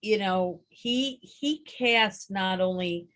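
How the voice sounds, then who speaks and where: A woman with a gravelly voice, a former voiceover actress, musing thoughtfully in a book club chat. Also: mid-range voice, takes it slowly, fairly bright tone. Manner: thoughtful, searching for words. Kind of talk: casual book and film chat.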